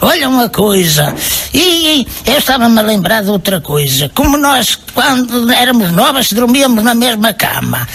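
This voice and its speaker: Radio comedy: morose elder village sister, played by a man, very high and husky, exasperated, forever moaning. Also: big pitch swings, normal speed, fairly bright tone, heavily acted. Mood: nagging. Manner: whining, lamenting, exclaiming. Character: gloomy older village woman, elder sister, easily exasperated.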